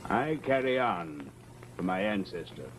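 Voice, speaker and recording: Grandiose, sinister, swooping mesmerist. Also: male voice, low voice, very animated, a little slow. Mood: menacing. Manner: pompous, stiffly formal. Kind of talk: old-time radio horror drama acting. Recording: noisy old radio recording.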